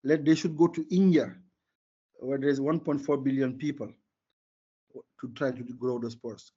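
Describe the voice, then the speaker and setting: An older man with a husky voice and a Senegalese accent, native in Wolof, answers as an interview guest. He is emphatic and opinionated, repeating words to drive points home. The audio is dull and lo-fi. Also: mid-range voice, fairly even intonation, unhurried, mellow. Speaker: interview guest, former sports marketing executive.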